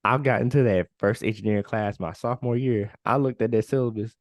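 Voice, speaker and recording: A man in his late twenties co-hosting a podcast, telling his story in a relaxed, candid way with lots of filler words. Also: on the low side, a little fast, no room sound. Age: 20s. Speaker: podcast co-host. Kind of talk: casual conversational podcast chat.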